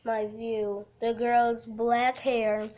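A woman livestreaming a visual novel, reading the text aloud haltingly and adding goofy, casual asides. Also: on the high side, level tone, dull audio.

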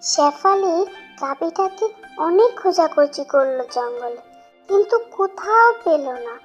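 A woman's voice: very high voice, animated, unhurried. A woman giving scripted narration for a fairy-tale cartoon. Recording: dull lo-fi audio with music behind.

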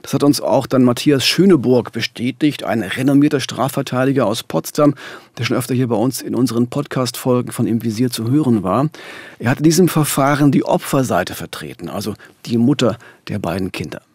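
A man's voice: mid-range voice, brisk, darkish tone, slightly rough, no room sound. A middle-aged man narrating a true-crime podcast as co-host at a studio microphone. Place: small radio studio. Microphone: studio microphone, headphones.

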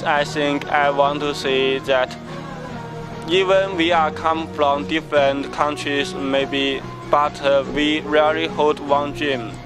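A man with a slightly raised voice speaks earnestly in simple English. Music plays clearly behind him. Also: on the high side, rough recording. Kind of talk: interview answers.

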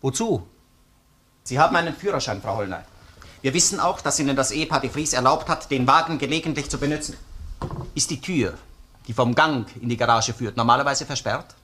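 A man's voice: mid-range voice, bright, forceful tone, slight background noise, close to an everyday voice. A man with an Austrian accent playing a relentless, sardonic police detective who questions a suspect insistently in a crime radio play. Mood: pressing, accusing. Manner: probing, insistent, sardonic, deliberate phrasing. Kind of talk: German-language crime radio play acting.

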